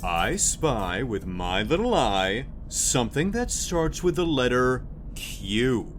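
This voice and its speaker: A pompous, animated comic sidekick. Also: male voice, medium pitch, slow, over music. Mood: playful, pompous. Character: pompous, goofy male name-dropping sidekick, cheerfully absurd. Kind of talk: audio comedy drama acting.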